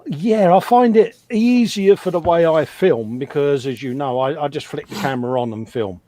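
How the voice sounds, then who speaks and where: A man's voice: a little high, moderate pace, warm tone, dry recording. A man, a fellow model maker, chatting matter-of-factly on a live stream, often saying "you know". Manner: conversational and matter-of-fact, with frequent "you know". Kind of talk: casual chat on a livestream.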